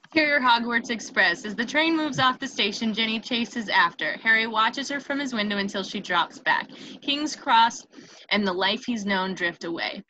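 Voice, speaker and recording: Bright-voiced woman reading screenplay narration. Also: fairly high pitch, moderate pace, highs cut off. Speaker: stage-direction narrator. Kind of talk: scripted narration at a table read. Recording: noticeable background noise on home mic.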